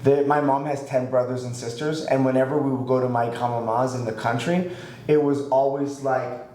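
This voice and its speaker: A man in his thirties from Canada, a chef telling his story in a casual podcast chat with lots of fillers. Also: mid-range voice. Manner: relaxed, rambling storytelling with many fillers. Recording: echoey room, faint ambient noise.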